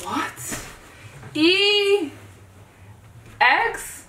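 A woman's voice: extremely high pitch, animated, very slow. A woman from the Dominican Republic spelling words among friends, joking and brash, swearing when she gets stuck. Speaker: spelling challenge contestant. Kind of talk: casual chat among friends. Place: small room with a couch. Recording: roomy echo, distant mic, faint noise.

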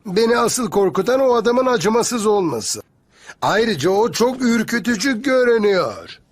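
A man's voice: high-pitched, sing-song, normal speed. A man voicing a young, anxious, sympathetic sidekick in a dubbed cartoon, emotional and exclamatory. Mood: worried.

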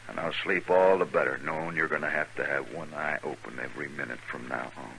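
Very deep, menacing gunman. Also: fairly even intonation, brisk, creaky, pushed and bright, exaggerated voice. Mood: menacing, vengeful. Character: bitter, vengeful ex-convict gunman of the Old West. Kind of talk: 1950s radio western acting. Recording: noisy old 1950s radio recording.

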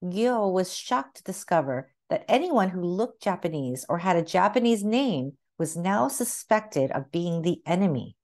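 Expressive lower-voiced woman reading a picture book. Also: a little slow, hardly any pauses. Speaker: read-aloud narrator. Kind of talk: children's picture book read-aloud.

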